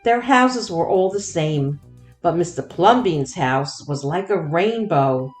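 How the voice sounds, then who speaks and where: A woman narrating a children's storybook expressively, with deliberate, dramatic phrasing. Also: on the low side, animated, soft background music. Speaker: children's book read-aloud host.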